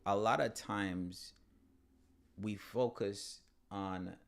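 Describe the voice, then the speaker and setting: A man's voice: lowish voice, fairly even intonation, drawn-out words, vocal fry. A man co-hosting a podcast in casual, slangy talk with a hint of a Jamaican accent, repeating phrases for emphasis. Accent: Jamaican-tinged. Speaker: podcast co-host.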